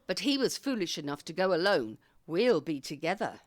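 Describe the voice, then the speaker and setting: A woman's voice: lowish voice, very animated, normal speed, no room sound. An actress playing a capable, impatient retired woman who takes charge in an audio comedy, speaking. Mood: determined. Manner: decisive, impatient, commanding. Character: bossy, capable retired woman, sharp-witted care-home ringleader. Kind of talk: audio comedy drama acting.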